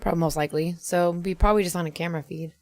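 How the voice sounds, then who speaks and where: Low, creaky-voiced woman in casual chat. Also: fairly even intonation, racing, a little mellow, no room sound. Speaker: podcast co-host. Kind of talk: casual improvised brainstorming chat.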